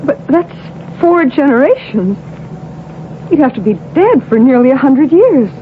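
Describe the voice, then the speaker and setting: An actress playing an uneasy, questioning schoolteacher in a radio drama. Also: female voice, high voice, big pitch swings, unhurried. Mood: uneasy, incredulous. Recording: muffled old radio broadcast, faint noise.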